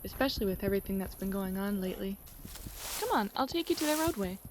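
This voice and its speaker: A woman playing a friendly, teasing young ranch girl in a fantasy audio drama, chatty and expressive. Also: mid-range voice, quick pace, background noise, lightly acted. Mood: playful, teasing.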